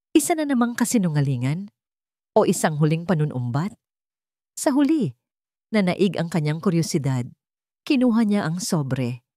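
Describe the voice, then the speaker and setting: A woman's voice: fairly low pitch, warm tone, dry recording, studio quality. A woman reading scripted, dramatic story narration as a narrator.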